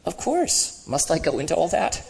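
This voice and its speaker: A man voicing a gentle, cheerful redeemed spirit in a storybook reading, patient, humble and lightly amused. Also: on the high side, sing-song, moderate pace, vocal fry, voice pushed up. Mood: earnest, humble.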